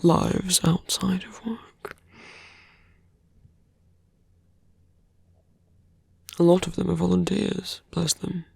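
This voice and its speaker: Seductive villain played by an ASMR performer: breathy, half-whispered, very creaky, taunting and menacing, intimate roleplay delivery. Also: mid-range voice, fairly even intonation, darkish tone, no room sound, heavily acted. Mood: calm, persuasive. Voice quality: breathy, often dropping to a whisper. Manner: slow, deliberate, taunting.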